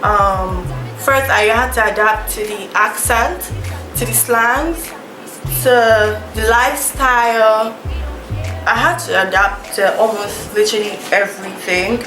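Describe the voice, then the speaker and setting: A woman's voice: medium pitch, fairly even intonation, slow pace. A young woman from Nigeria with a Nigerian accent, telling her story to camera in a casual, chatty way, full of fillers and restarts. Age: young adult. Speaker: vlogger. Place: small indoor room, seated before a plank backdrop. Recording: echoey room with music behind.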